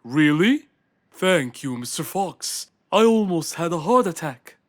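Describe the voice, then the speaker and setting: Casting: performer as fretful royal male animal for kids' cartoon, plaintive, slow and dramatic, lively pitch. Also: natural voice. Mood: anxious, pleading. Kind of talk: children's cartoon character acting. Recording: music behind the voice.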